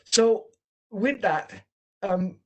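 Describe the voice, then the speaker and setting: A man's voice: high-pitched, extremely slow, a little mellow. A man from the Netherlands with a Dutch accent, presenting an online training session over a video call in an earnest, emphatic way.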